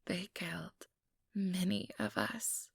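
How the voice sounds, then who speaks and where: A woman voicing an ambitious, manipulative female vampire in a breathy, measured whisper for an ASMR role-play, close to the microphone. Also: on the low side, fairly even intonation, no echo, heavily acted. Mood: smug approval. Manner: measured, condescending, persuasive.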